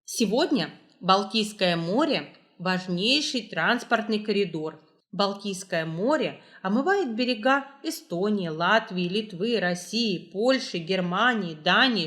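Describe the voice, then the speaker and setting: A woman reading scripted educational travel narration with measured, clear phrasing. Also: mid-range voice, a bit bright. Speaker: narrator, library staff.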